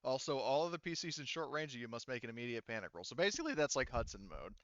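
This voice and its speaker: A man's voice: medium pitch, very animated, racing, a bit bright, no room sound, highs cut off. A man casually running a tabletop game as game master, explaining rules and narrating the action.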